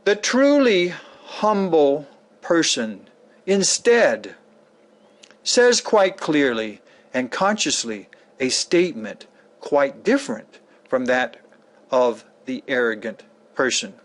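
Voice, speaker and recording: Male reader, noticeably high voice, emphatic and theatrical, for a scripted religious lecture. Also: animated. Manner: emphatic, theatrical, deliberate phrasing with rhetorical questions. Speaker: author reading a sample of an e-book lecture. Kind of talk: dramatic scripted reading, sermon-like lecture.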